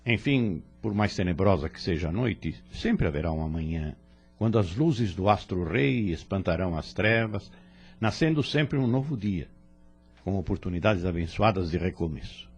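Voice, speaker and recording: Casting: male actor for fatherly Brazilian spirit guide, low-voiced, solemn, with sweeping intonation, audio drama. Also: expressive, faint music behind. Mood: consoling, solemn. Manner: solemn, measured, formal exhortation. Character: serene, fatherly male spirit mentor, kindly and preaching. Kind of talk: religious audio drama acting.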